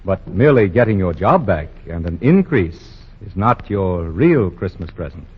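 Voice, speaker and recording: A pompous, kindly store owner, formal and given to stammering in a 1940s radio play. His voice is low and husky, with a lively swing in pitch. The broadcast sounds dull and old. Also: moderate pace, heavily acted. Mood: benevolent. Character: pompous older male store owner, benevolent.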